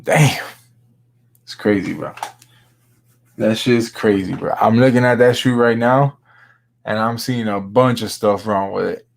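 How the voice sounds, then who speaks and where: A man's voice: lowish voice, unhurried, vocal fry, pauses often. Laid-back man talking sneakers. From Miami, Florida. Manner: laid-back, slangy, says "bro" often. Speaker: sneaker vlogger. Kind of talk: casual chat.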